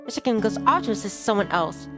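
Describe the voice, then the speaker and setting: A woman's voice: mid-range voice, expressive, quick pace. A woman streamer reading a visual novel aloud, mixing narration with casual asides. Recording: music behind the voice.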